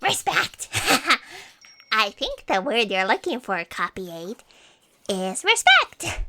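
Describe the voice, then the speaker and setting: A woman playing an excitable, defensive office underling, theatrical and evasive, in a comic sci-fi audio drama. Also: on the high side, slow pace, heavy background noise, exaggerated voice.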